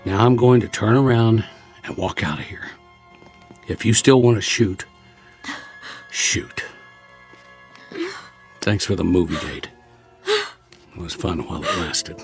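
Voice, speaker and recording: An actor playing a world-weary, wary soldier who survived a war, talking in a colloquial, rambling way in a radio drama. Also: male voice, lowish voice, animated, slow pace, long pauses, soft background music. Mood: resigned, wry.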